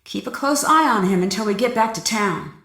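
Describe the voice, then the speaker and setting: High-voiced, composed young detective. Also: female voice, expressive, a little fast, a bit bright. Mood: serious, concerned. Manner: inquisitive, polite, level-headed. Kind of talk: video game voice acting. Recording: roomy, echoing sound.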